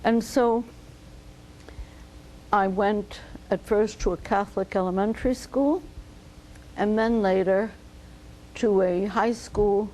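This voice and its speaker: A woman's voice: mid-range voice, dark tone, a little hiss or hum, low-quality recording. Older woman recalling her childhood. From United States. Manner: measured, reflective storytelling. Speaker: interviewee, physician. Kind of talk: oral history interview.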